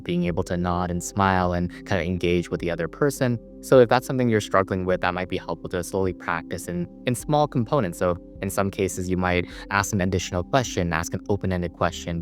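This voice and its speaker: A man, a psychologist, explaining therapy ideas in a conversational teaching talk, full of "you know" and "like". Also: low-pitched. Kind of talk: educational talk to camera.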